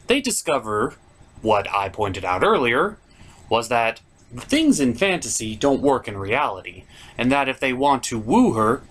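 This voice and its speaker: A man's voice: medium pitch, fairly bright tone. A man hosting a cartoon review, giving casual, sarcastic and sometimes crude commentary. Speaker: cartoon review show host.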